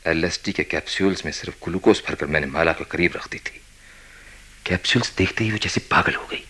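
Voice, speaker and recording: Deep, raspy, commanding senior psychiatrist. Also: male voice, pushed and bright, heavily acted. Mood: intense, revelatory. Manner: deliberate, probing, commanding, dramatic pauses. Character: authoritative, intense senior psychiatrist running experiments. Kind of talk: radio play acting, Hindi mixed with English.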